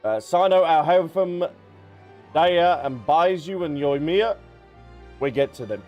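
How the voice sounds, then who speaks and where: A man's voice: a little high, expressive, takes it slowly, muffled recording. A man doing casual live game commentary as a streamer, playful and chatty, reacting aloud. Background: game music.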